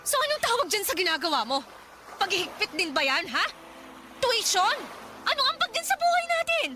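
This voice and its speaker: A very shrill-high Filipino wife argues back with sarcasm and resentment. She scolds and challenges in radio drama style, over clearly noisy ambient background. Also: very animated, a little fast. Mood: sarcastic, angry. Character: defiant, resentful gambling wife of an overseas worker.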